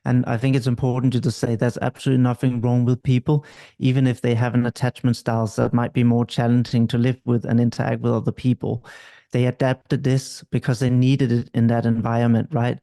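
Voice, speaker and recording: Calm man explaining patiently. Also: medium pitch. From Denmark. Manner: calm, measured, explains at length. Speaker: guest couples therapist. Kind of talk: explanatory interview talk.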